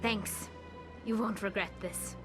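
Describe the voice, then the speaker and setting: A woman's voice: mid-range voice, steady tone, slow pace, over music, natural voice. A woman playing an earnest, resolute young female alien in a video game cutscene. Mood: determined.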